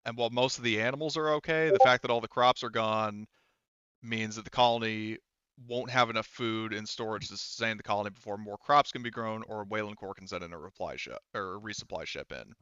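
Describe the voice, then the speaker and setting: A man runs a tabletop game in casual, stop-and-start speech. His voice is bright and clean, recorded close and dry with a slightly dull, lo-fi edge. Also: on the low side, smooth, no room sound. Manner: halting, often repeating words. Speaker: game master.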